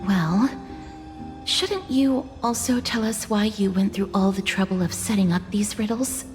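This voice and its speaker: A woman's voice: medium pitch, a little slow, natural voice. An actress playing a young woman scholar in a video game, polite, inquisitive and logical, speaking in an earnest, precise, articulate way. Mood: earnest, inquiring. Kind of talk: scripted video game voice acting. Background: faint game music.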